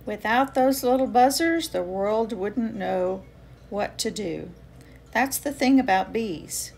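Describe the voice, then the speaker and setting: A woman reading a children's picture book aloud with expressive, deliberate phrasing for young listeners. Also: on the high side, vocal fry, faint music behind. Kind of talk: read-aloud children's storytelling.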